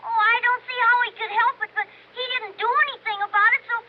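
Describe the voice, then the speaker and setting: A woman's voice: very high voice, fairly even intonation. A breathless little boy. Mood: anxious, hurried. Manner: breathless, hurried, pleading. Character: small excited boy on a payphone. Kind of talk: 1950s radio drama acting. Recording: muffled old radio broadcast with hiss.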